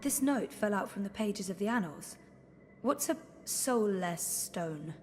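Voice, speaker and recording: A woman's voice: mid-range voice, lively intonation, unhurried, darkish tone, slight background noise, lightly acted. An actress playing a guarded, curious, level-headed young woman adventurer in a video game, speaking thoughtfully and with understatement. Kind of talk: video game dialogue acting.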